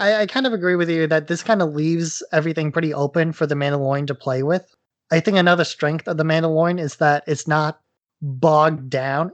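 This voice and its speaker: A man's voice: a little high, no echo. A man co-hosting a podcast, talking through his views in a casual fan discussion. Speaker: podcast co-host.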